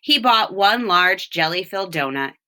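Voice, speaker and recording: A woman reading a children's storybook aloud, with expressive, deliberate phrasing and short explanations. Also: fairly low pitch. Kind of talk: read-aloud storytelling with brief picture explanations.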